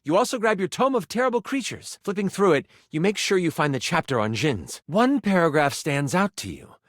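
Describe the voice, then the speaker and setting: An actor playing a theatrical game master, narrating a fantasy adventure in a dramatic, suspenseful way. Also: male voice, a little high, no room sound, studio quality. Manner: dramatic, suspenseful second-person storytelling.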